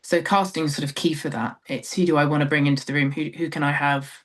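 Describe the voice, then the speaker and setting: A woman's voice: sits low, fairly even intonation, fast talker. Thoughtful British woman in conversation. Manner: thoughtful, hesitant, trailing phrases with frequent hedging. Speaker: theatre director, interview guest. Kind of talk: reflective interview conversation.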